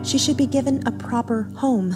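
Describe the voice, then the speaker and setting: A woman's voice: sits high, normal speed, music in the background, natural voice. An actress playing a determined woman who speaks earnestly and indignantly about adopting a girl.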